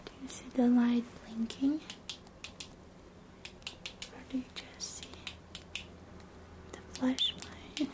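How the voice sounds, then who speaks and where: A woman whispering softly and closely as a doctor in an ASMR roleplay, giving gentle, reassuring bedside talk into a binaural mic. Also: high voice, fairly even intonation, slow, pauses often. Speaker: ASMR creator role-playing a doctor.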